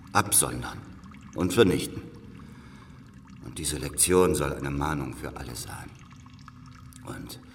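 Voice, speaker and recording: An actor playing a worn, calculating older spy in a German historical radio drama, speaking in a grave, deliberate, conspiratorial way. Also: male voice, low-pitched, distant mic, a little hiss or hum. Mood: grim, warning.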